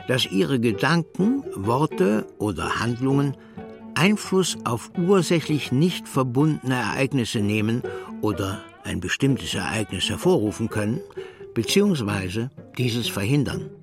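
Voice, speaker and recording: A man reading scripted narration aloud in a measured, deliberate manner with a creaky voice. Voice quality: low, creaky. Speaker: narrator, reader. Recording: slightly distant microphone, soft music.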